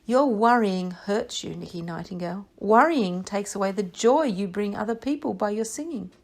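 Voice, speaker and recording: A woman's voice: mid-range voice, animated, moderate pace, creaky. A woman voicing a tiny, kind but firm worm in a children's story. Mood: kindly firm. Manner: small, gentle, firm.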